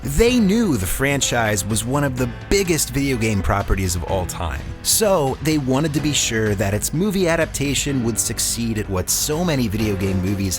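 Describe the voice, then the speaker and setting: Animated male narrator over music. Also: mid-range voice. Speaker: host and narrator. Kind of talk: scripted documentary narration. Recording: music bed under voice. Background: background music.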